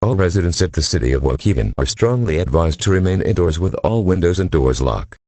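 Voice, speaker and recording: A man with an extremely deep, husky voice delivers a scripted emergency warning. He sounds synthetic and impersonal, keeping to formal announcement phrasing. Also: expressive, a little fast, warm tone, no echo.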